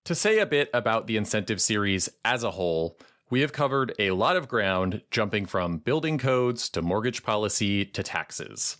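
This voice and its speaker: A man hosting a policy podcast, reading a measured, earnest introduction and then interviewing a guest. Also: lowish voice, fairly bright tone. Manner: measured, clear and earnest delivery. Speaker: podcast host.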